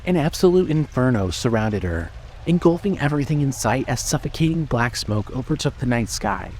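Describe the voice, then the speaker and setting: A man's voice: medium pitch, lively intonation, a little fast. A man narrating a scripted horror story in a dramatic style. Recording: loud ambient noise behind voice.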